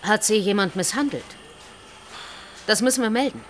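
A woman's voice: medium pitch, animated, normal speed, a little hiss or hum, natural voice. An actress playing a firm, professional female doctor in a German radio crime drama. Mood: matter-of-fact.

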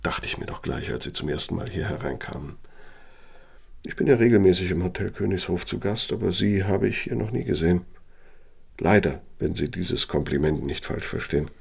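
An actor playing a pompous, brooding older architect in a radio play, with insinuating, theatrical delivery and a gravelly, husky voice. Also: male voice, low-pitched, brisk, exaggerated voice. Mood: condescending, insinuating. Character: self-important older male architect, insinuating, bitter, brooding. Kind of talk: German radio play acting, monologue and dialogue.

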